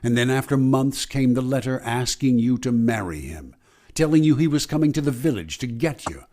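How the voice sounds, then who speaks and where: A man's voice: mid-range voice, moderate pace, warm tone, lightly acted. A man playing a knowing storyteller in old-time radio suspense, dramatic and insinuating as he addresses the heroine.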